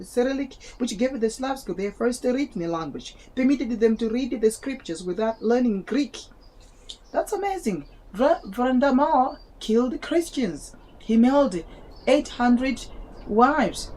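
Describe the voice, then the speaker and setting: A woman's voice: a little high, dark tone, rough recording. A woman with a South African and Malawian English accent, not a native English speaker, reads a history book aloud to her viewers with wondering asides and often stumbles and repeats words. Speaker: vlogger reading aloud.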